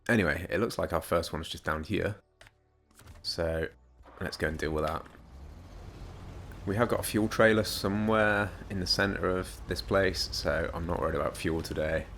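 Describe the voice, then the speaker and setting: A man with a slightly croaky voice, giving rambling, self-mocking gameplay commentary. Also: low-pitched, moderate pace, fairly bright tone, soft background music. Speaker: gaming YouTuber.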